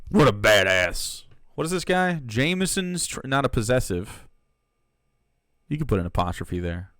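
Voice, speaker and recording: A man's voice: medium pitch, sing-song, a little slow, long pauses, a bit bright, no echo, clean studio sound. A man who streams political commentary, reacting to clips in a casual, sarcastic and profane way, laughing between remarks.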